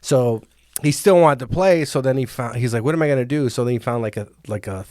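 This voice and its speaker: A man's voice: medium pitch, brisk, dark tone, dry recording, studio quality. A man hosting a casual podcast, rambling and joking as he tells stories and nerds out about music. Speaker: podcast host.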